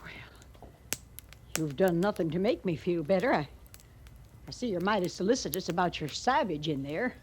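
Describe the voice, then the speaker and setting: Actress as lively, outspoken frontier settler woman. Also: on the low side, normal speed, pauses often, close to an everyday voice. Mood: indignant. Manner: forthright, folksy, emphatic. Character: outspoken, plain-spoken married woman settler heading west. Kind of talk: 1950s radio western acting. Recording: noisy old radio drama recording.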